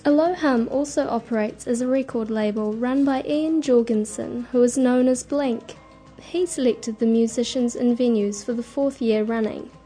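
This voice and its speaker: A woman radio reporter reading a scripted news feature in a measured way. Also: fairly high pitch, warm tone, soft background music. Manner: measured, informative.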